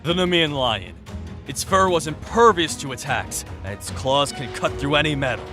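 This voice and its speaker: Man acting as a teasing young hero, audio drama, breezy, chatty, swooping pitch, soft music behind. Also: lively intonation, moderate pace.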